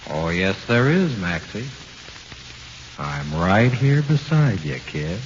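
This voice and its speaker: Dead gangster ghost, calm and menacing, low voice w big swoops, crackly old radio horror recording. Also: male voice, slow, heavily acted. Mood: sly taunting. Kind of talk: 1940s radio horror acting. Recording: hissy, crackling, muffled 1940s radio recording.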